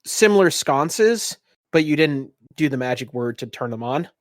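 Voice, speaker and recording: A man's voice: on the high side, animated, normal speed, no echo. Man narrating a tabletop game, dry close sound. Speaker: game master.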